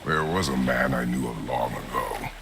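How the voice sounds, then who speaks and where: An actor playing a stern, stoic warrior father who talks in terse, formal commands with a gravelly voice in a cinematic game. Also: extremely low pitch, normal speed. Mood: stern, reserved. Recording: noisy water ambience.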